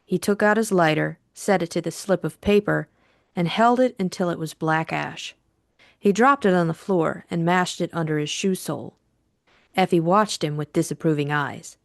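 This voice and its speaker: A woman's voice: lowish voice, normal speed, creaky, no room sound, studio quality. A woman reading a novel as an audiobook narrator, in scripted dramatic narration.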